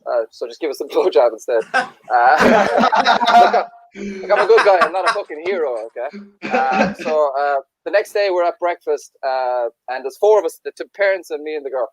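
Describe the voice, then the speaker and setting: Crude, self-mocking comedian. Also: male voice, fairly high pitch, normal speed, low-quality recording. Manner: self-deprecating, crude, deadpan asides. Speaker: stand-up comedian. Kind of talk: stand-up anecdote.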